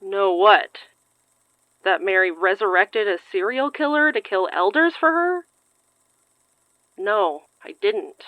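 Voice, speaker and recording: Sharp-tongued young woman, dry muffled sound. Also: medium pitch, animated, slow, pauses often, dry recording. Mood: sarcastic, stressed. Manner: sarcastic, swearing, exasperated. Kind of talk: audio drama acting.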